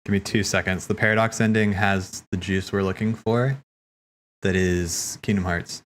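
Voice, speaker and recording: A man hosting a watch party, chatting casually in an animated, slangy, teasing way. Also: low voice, moderate pace. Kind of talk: casual live reaction chat. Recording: game music playing behind.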